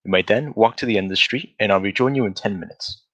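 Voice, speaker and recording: An actor playing a brisk, self-assured gentleman detective in an old-style radio drama, with precise, formal diction. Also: lowish voice. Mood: brisk, commanding. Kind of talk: 1940s radio drama acting.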